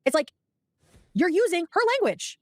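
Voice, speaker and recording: A woman's voice: very high voice, very animated, normal speed, no room sound. Dry-sounding woman co-hosting a stream. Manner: blunt, swearing, agreeable. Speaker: livestream co-host. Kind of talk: casual chat.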